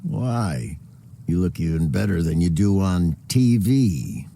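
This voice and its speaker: An arrogant upstart gangster villain from a video game. He talks slowly in a dark, mellow voice with swooping intonation, theatrically polite and sly. There is some noise behind him. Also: low voice, animated, gravelly, heavily acted. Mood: smug, amused. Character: smooth, arrogant, flamboyant upstart gangster boss.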